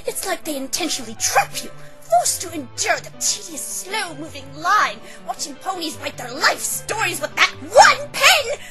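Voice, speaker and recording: A refined lady unicorn from a cartoon visual novel, in a very high, wildly swooping voice. She is genteel and affected, quick to outrage and grandly dramatic, with music playing underneath. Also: big pitch swings. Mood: indignant. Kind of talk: fan-dub visual novel character acting.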